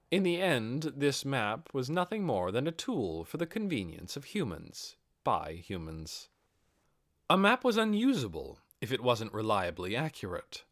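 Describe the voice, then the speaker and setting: A man's voice: medium pitch, no room sound, clean studio sound. A man reading a fantasy novel aloud as a scripted audiobook narration.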